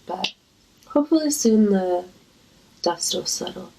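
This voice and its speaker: A woman speaks slowly in a congested voice thick with creak, as someone with a cough and a cold. Her delivery is casual and intimate, addressed straight to viewers, with a playful, flirty manner. The pitch sits in a middle range for a woman. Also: animated, background noise.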